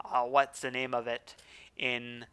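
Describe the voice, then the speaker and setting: A man's voice: medium pitch, monotone, unhurried, bright, forceful tone, a little hiss or hum. A man with a French accent, a native French speaker, hosting a casual gaming podcast and rambling with many restarts as he plays. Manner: rambling, self-correcting, chatty. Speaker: let's play host.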